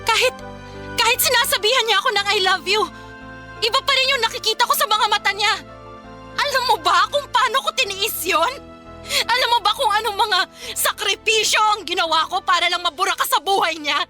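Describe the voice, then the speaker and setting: Bitter, betrayed sister, Filipino radio drama; high, bright, accusing, music behind. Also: female voice, a little fast. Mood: hurt, accusing. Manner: accusing, emotional delivery. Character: hurt, bitter younger woman confronting her sister.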